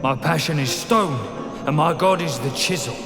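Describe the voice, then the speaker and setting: A man's voice: fairly high pitch, moderate pace, natural voice. A man playing a weary old dwarf stonemason who speaks formally and courteously in a fantasy game. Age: older. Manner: formal, musing, polite. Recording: echoey cavern with music behind.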